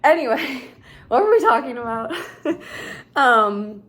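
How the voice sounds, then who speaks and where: A woman's voice: fairly high pitch, sing-song, takes it slowly, fairly bright tone. Woman, thirties, rambling, chatty, lots of "like", vlog talk. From Minnesota, USA. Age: 30s. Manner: rambling, chatty, heavy use of "like". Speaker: vlogger. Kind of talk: casual vlog chat. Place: her home.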